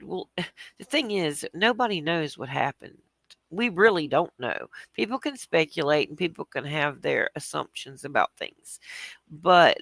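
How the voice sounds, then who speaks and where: A woman's voice: low voice, gravelly, no room sound. A woman, host of a casual livestream, talking in a chatty, rambling way with a stuffy, congested voice. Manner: chatty, rambling, greets viewers, soft laughs.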